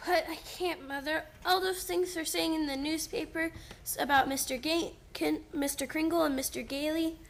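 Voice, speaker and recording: A woman as an earnest high-voiced little girl. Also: fairly even intonation, normal speed, slight background noise. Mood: earnest, pleading. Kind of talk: radio play acting.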